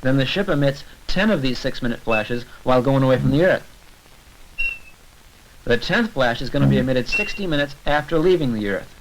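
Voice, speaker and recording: A man's voice: medium pitch, lively intonation, normal speed. A man narrating an educational science short in an informal, conversational lecture style, explaining step by step. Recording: noisy old 1970s film soundtrack with clicks.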